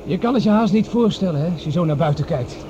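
An actor in a radio drama playing a jumpy, sceptical driver on Mars, blunt and excitable in manner. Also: male voice, a little high, quick pace, natural voice. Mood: calm. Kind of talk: Dutch radio drama acting. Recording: dull noisy old radio recording.

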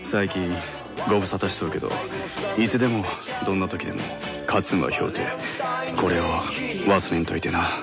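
A man's voice: deep voice, fairly even intonation, moderate pace, gravelly. A man playing a cool, suave teenage tennis player with a Kansai accent, speaking as a radio guest in character. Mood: cool, relaxed. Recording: loud guitar music behind the voice.

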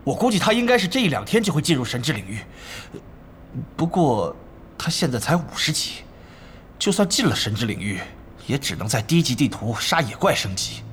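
Earnest, anxious subordinate, mid-range and expressive, deferential audio drama reporting. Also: male voice, mid-range voice, a little fast, slight background noise. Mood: dutiful. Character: deferential, anxious male guild officer giving reports. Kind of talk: Mandarin audio drama acting.

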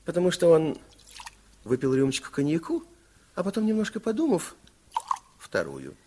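A man narrating a radio fairy tale in an expressive, wry storytelling manner. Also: a little high, normal speed, a little hiss or hum.

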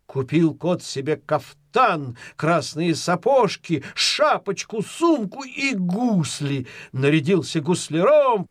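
Guy telling a folk tale, very lively and expressive, slow pace, dry studio sound. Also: high voice, dry recording. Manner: expressive, dramatized storytelling. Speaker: storyteller. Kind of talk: scripted folk tale narration.

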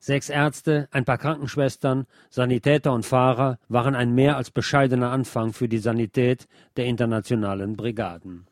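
A man with a medium-pitched voice narrates a history documentary. The sound is dry and close, with no room around it. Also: steady tone, moderate pace, hardly any pauses, no echo.

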